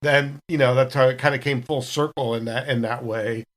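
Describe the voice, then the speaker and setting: A man's voice: medium pitch, steady tone, normal speed. A man on a podcast, chatting casually and recounting anecdotes as one of the cast. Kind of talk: casual chat, telling anecdotes.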